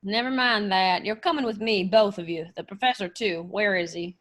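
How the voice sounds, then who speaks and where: A woman playing a gruff, suspicious, excitable country sheriff in an old-style radio play. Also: mid-range voice, moderate pace, natural voice. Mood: brusque, accusing. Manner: brusque, disbelieving.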